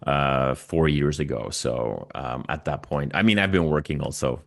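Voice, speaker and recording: A man in his forties from Belgium, a native Dutch speaker with a Belgian Dutch accent, talking casually in an interview in a halting, self-correcting way. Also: extremely low pitch, creaky. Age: 40s. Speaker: interview guest, music YouTuber.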